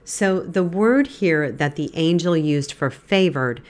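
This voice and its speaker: Low-voiced congested woman teaching Bible. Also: expressive, takes it slowly. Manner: earnest, gentle, throat-clearing. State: congested, stuffy nose. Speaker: podcast host. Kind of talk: devotional Bible teaching podcast.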